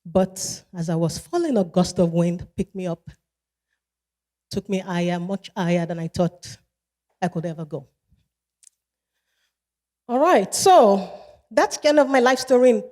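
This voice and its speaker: Nigerian woman in her 30s doing a keynote, slow and measured, repeats stuff for emphasis, big hall echo. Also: mid-range voice, dark tone. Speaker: keynote speaker. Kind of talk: motivational keynote speech. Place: large event hall. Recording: long hall reverb.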